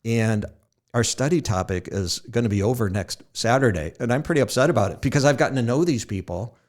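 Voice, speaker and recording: A man's voice: mid-range voice, expressive, a little fast. A man hosting a podcast, telling self-deprecating stories and laughing at his own jokes. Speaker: podcast host. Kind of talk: casual podcast conversation.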